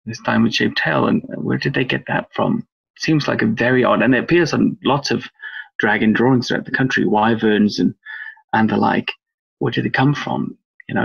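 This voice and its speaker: A man's voice: medium pitch, creaky, slightly rough, highs cut off. A man from Wales, a guest researcher on a podcast interview, talking in a rambling, thoughtful way with lots of fillers. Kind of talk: podcast interview over a call.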